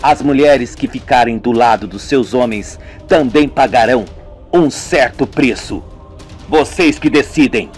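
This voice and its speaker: A man voicing a menacing village cult elder who speaks in a slow, threatening way. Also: medium pitch, soft background music, pitched below the performer's own voice, close to an everyday voice. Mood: ominous.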